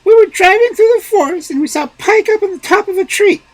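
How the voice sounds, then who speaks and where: A voice performing a nagging old peasant wife in a storybook reading, with a shrill, exclamatory manner. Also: female voice, fairly quick, no echo. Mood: scolding.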